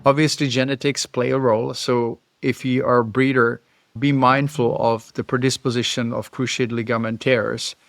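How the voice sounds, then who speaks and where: A man's voice: medium pitch, flat delivery, normal speed, gravelly, fairly bright tone, dry recording, studio quality. A middle-aged male veterinarian with a Czech accent, explaining in a thoughtful, informal way to camera. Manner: thoughtful, explanatory, occasional chuckles. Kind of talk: casual educational talk to camera.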